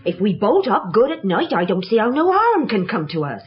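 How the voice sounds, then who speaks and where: A slightly muffled radio drama recording of a woman playing a plucky country woman. She is forthright and colloquial, with lively pitch. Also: moderate pace, lightly acted. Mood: bold. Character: plucky, uneducated rural woman. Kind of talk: 1970s British radio horror acting.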